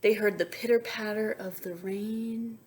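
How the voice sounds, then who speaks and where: A woman reading a children's story aloud in an expressive way, pausing with questions and asides for young listeners. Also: medium pitch. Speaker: picture book reader. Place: indoors, book held up to camera.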